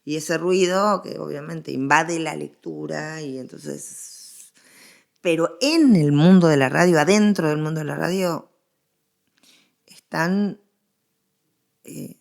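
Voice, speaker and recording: A woman's voice: sits low, slow pace, gravelly, pauses often. A middle-aged woman with an Argentine accent explains her ideas emphatically in a conversational academic interview, repeating phrases to drive them home. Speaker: guest historian interviewee.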